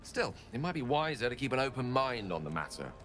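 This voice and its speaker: A man's voice: medium pitch, big pitch swings, fairly quick, fairly bright tone, faint music behind. A man playing a curious, courteous scholarly wizard in a video game, articulate, bookish and musing. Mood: intrigued, reasoning.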